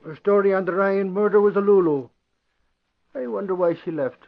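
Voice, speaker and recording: An actor playing a naive, excitable, dim but sincere newspaper man as comic relief in 1940s radio drama. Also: high voice, normal speed, vocal fry. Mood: glum, puzzled. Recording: muffled vintage radio broadcast.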